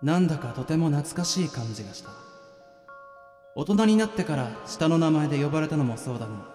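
Reverberant and set over music, this is a man acting as a weary, bewildered ordinary guy in a radio drama. His voice sits a little high and swings expressively between casual grumbling and first-person narration. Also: animated, fairly quick, dark tone, natural voice. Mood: reflective narrating. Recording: echoey reverberant sound, music underneath.

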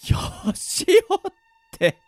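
A man's voice: slow, dry recording, natural voice. A man playing a gleeful, sadistic killer in an audio drama, with a taunting, giggly, sing-song drawl. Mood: mocking glee. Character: gleeful sadistic male killer, mocking and creepy. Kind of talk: anime-like audio drama acting.

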